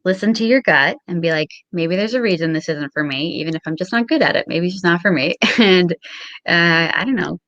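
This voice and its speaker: Casual young woman, slightly low-pitched, dry sound. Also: brisk, a bit bright, dry recording, muffled recording. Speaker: guest on a show. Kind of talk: casual interview chat.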